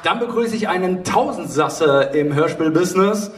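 Male panel host, slightly high voice, halting delivery, echoey stage sound with faint noise. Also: animated, a crowd in the background. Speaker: panel host, audio drama producer. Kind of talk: live stage moderation. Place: theatre stage, indoors. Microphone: handheld mic over PA, recorded from audience. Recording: roomy, distant hall recording with noise.

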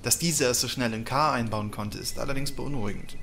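A man's voice: medium pitch, fairly quick, a bit bright, soft background music, close to an everyday voice. A man playing a blunt, defiant, sardonic pilot in an audio drama. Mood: explaining. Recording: faint background ambience noise.

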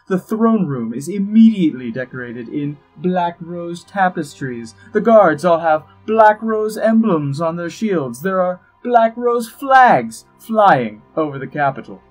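An actor playing an urbane, wry, scheming ambassador in a fantasy audio drama, droll, precise and pompous, with a husky voice. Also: male voice, on the high side, big pitch swings, unhurried, few pauses, low-quality recording. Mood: wry, indignant. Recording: music behind the voice.

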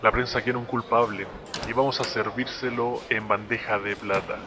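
A man playing a brisk, authoritative middle-aged police captain in a Latin American Spanish video game dub. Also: medium pitch, fairly even intonation, natural voice. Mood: curt, businesslike. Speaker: fan dub voice actor. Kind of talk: dubbed video game drama dialogue. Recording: noticeable background noise.

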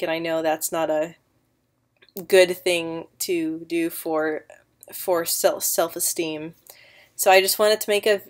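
A woman's voice: on the low side, clean tone. A woman in her twenties vlogging casually to camera on a webcam mic from her sofa at home, stuttering often on sounds and words, earnest and encouraging. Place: living room, seated on a sofa indoors. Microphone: built-in webcam mic.

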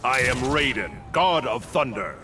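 An actor playing a solemn, stern thunder god in a video game, grave and declamatory, with a gravelly voice. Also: male voice, medium pitch, expressive, unhurried, lightly acted. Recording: very noisy game audio.

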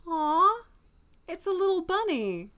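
A woman playing a nervous, soft-hearted young man in an audio drama, hesitant and flustered with sighs and stammers. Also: very high voice, big pitch swings, takes it slowly, exaggerated voice. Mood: gushing.

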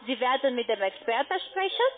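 High voiced woman call center agent, halting n pushy step by step, tinny phone audio. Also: sing-song. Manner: halting, insistent, step-by-step instructing. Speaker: call centre agent for an online trading platform. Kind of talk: scripted-sounding phone sales instruction. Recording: muffled narrow phone line.

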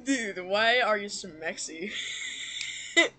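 A woman improvising a comedic cartoon fan dub, giggly and halting, swearing freely as she goes. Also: mid-range voice, lively intonation, crowd noise, rough recording. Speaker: amateur fan dubber.

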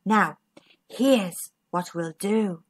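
A woman's voice: medium pitch, drawn-out words, breathy edge, pitched below the performer's own voice. A woman voicing a helpful, clever squid in a children's storybook, questioning and reasoning. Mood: scheming.

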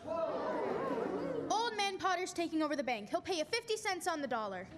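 Woman playing alarmed townsperson, extremely high voice. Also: lively intonation, normal speed. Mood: agitated. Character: alarmed small-town depositor spreading panic. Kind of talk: radio play acting. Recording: very noisy hall with loud crowd.

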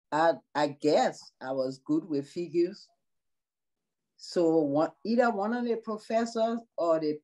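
A woman's voice: low-pitched, mellow, low-quality recording. A middle-aged woman from Liberia with a Liberian accent, a long-term care worker speaking earnestly in a video-call interview. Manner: slow, earnest, measured. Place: small room indoors.

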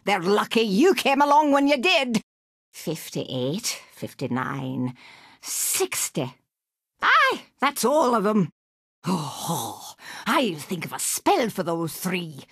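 A woman's voice: fairly high pitch, big pitch swings, slow, fairly bright tone, slightly rough, dry recording, studio quality, full character voice. A woman voicing a crotchety, scheming old witch for a video game, with a Scottish accent and a theatrical, scolding, mock-sweet manner. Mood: grumbling, menacing. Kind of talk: animated video game voice acting.

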